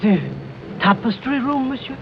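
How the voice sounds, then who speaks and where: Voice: high voice, moderate pace, noisy background, natural voice. A performer playing a deferential French housekeeper with a French accent in a 1940s radio mystery, formal and careful. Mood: deferential, uneasy. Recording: muffled 1940s radio recording.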